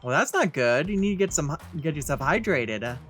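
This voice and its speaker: A woman's voice: low-pitched, very animated, moderate pace, soft background music. A woman hosting a let's play, commenting casually and talking back to the game's characters. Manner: reacts aloud, conversational asides to game characters. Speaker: gaming video host.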